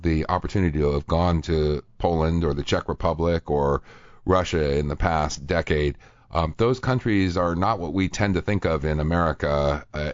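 A man's voice: rumbling low, no echo, highs cut off. A man hosting a radio talk show, chatting casually and reading quotes aloud with many fillers. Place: radio studio.

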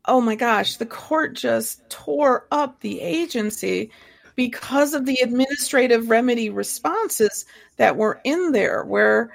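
A woman from Ohio co-hosting a podcast, chatting in an animated way with quick asides. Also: a little high, gravelly. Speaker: podcast co-host. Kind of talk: casual podcast chat.

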